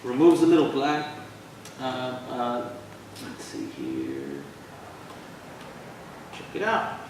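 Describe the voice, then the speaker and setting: A man's voice: mid-range voice, wildly swooping pitch, slow. A man playing a heated young street graffiti artist in a staged reading, with slangy, stumbling, emphatic speech, recorded from afar in a hall. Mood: casual. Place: theater stage at a live reading. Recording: echoey hall from far, faint noise.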